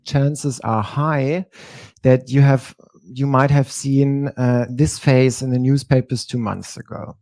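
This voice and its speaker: A man, giving a prepared stage talk as a drag performer and telling his story in a measured way. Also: mid-range voice, fairly even intonation, a little mellow, dry recording.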